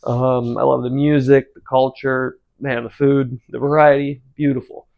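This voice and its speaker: A man in his forties with a gravelly, creaky voice at an ordinary middle pitch. He talks loosely while he works at the stove, drifting between thoughts and filling gaps with ums and uhs. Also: measured pace, phone-quality sound. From United States. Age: 40s. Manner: rambling, relaxed, many ums and uhs. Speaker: cooking channel host. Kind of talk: casual talk while cooking.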